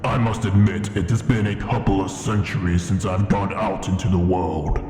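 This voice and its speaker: A man voicing an ancient, proud dragon in a fantasy ASMR roleplay, speaking in an imperious, formal and deliberate manner. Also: sits low, fairly even intonation, a little mellow, natural voice. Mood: probing, grave. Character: ancient proud male dragon in his cavern lair. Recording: echoing cavern reverb with music behind. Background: music.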